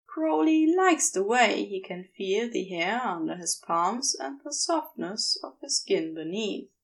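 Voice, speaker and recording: Slow, expressive woman narrating fiction. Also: mid-range voice, gravelly. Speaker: podfic narrator.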